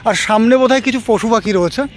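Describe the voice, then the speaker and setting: A man hosting a casual travel vlog, talking in a halting, repetitive way as he narrates and chats. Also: sits high, slight background noise. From India. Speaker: travel vlogger and host. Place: outdoors, park and roadside.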